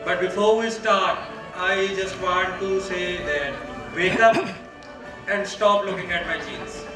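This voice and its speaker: A man's voice: sits high, steady tone, slow, reverberant, distant mic, over music, low-quality recording. A man with a Pakistani English accent moderating a conference panel, reading out speaker bios in a playful, loose way. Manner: playful, reads bios with fillers.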